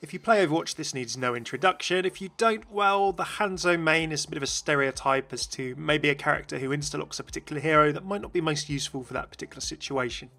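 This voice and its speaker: A man's voice: a little high, quick pace, vocal fry, few pauses, pushed and bright. A man giving upbeat, informative explanatory commentary as narrator of a video game channel, recorded close on mic.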